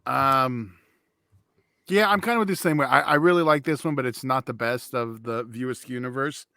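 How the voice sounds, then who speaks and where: A man's voice: medium pitch, moderate pace, bright, forceful tone, dry recording, studio quality. A man co-hosting a podcast, talking casually about films in an off-the-cuff review. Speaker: podcast co-host. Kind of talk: casual film review chat.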